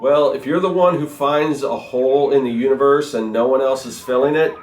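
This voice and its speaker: A man's voice: mid-range voice, moderate pace, darkish tone, soft background music. A man speaking as a guest collaborator. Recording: roomy, distant pickup.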